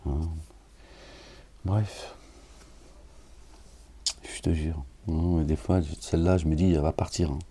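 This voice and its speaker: A middle-aged man from France giving a rambling, aggrieved talk, then reading scripture aloud, often dropping to a low murmur. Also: steady tone, unhurried, long pauses, mellow, a little hiss or hum. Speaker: religious preacher.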